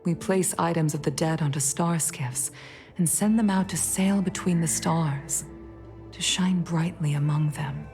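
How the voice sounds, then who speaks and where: A woman playing a dignified, grieving middle-aged leader in a video game, speaking in a formal, measured and solemn way. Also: sits low, darkish tone. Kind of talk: scripted video game voice acting. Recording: game music under the voice. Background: game music.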